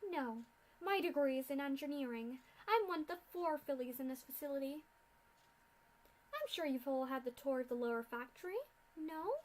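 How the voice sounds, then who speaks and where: A woman's voice: sits high, lively intonation, normal speed, darkish tone, poor audio. A woman voicing a sadistic, mocking female pony scientist in a fanfic reading, with a theatrical, mock-polite sneer.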